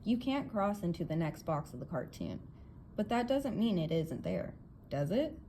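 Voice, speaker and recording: A woman's voice: lowish voice, sing-song, normal speed, mellow. A woman reads as an earnest storybook inventor, a confident man explaining himself to guests. The delivery is emphatic and theatrical, lifted above the narration, with some noise behind it. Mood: patient, insistent. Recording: clear background noise.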